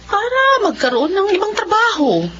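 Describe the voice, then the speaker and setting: A woman playing a caring, hardworking Filipino mother in a radio drama, speaking gently and politely with expression. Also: very high-pitched, big pitch swings, moderate pace. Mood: resolved. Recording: dull lo-fi audio, faint noise.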